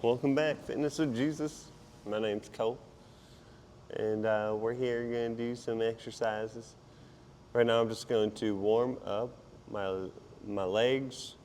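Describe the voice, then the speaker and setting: A man with a hoarse, partly lost voice talks casually and self-deprecatingly as a fitness vlogger while he exercises. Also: mid-range voice, fairly even intonation, measured pace, darkish tone, a little hiss or hum. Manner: informal, self-deprecating, rambling while exerting himself. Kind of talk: casual workout vlog chat while exercising.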